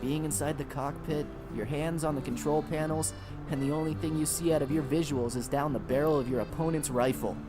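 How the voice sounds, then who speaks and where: A man's voice: a little high, steady tone, brisk, lightly acted. A young man acting as an earnest, easygoing mecha pilot in a video game, speaking conversationally. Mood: sincere. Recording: music under the voice.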